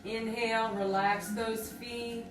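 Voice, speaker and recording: A woman leading a guided meditation with slow, deliberate, soothing phrasing and pauses. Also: fairly high pitch, little pitch movement. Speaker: group exercise coordinator leading guided meditation. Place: indoors on a rug by firelight. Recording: roomy, distant mic, music behind.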